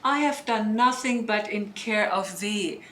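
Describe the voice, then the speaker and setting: A woman playing a composed, protective sorceress mother in a Shakespearean audio drama, deliberate and reassuring. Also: mid-range voice, fairly bright tone, slight background noise, lightly acted. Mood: soothing, firm. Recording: roomy, echoing home online recording.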